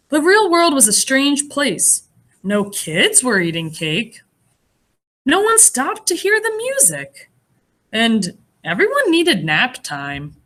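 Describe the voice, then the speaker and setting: A woman reading a picture book aloud as a teacher would to young children, expressive and pausing to explain things in simple words. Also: medium pitch. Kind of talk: animated children's read-aloud with asides.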